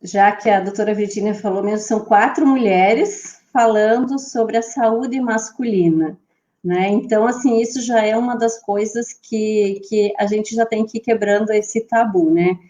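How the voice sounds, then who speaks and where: A woman's voice: medium pitch, unhurried, mellow. A woman hosting an online health panel, introducing guests and asking questions with many restarts. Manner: hesitant, with many restarts and fillers. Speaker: panel host.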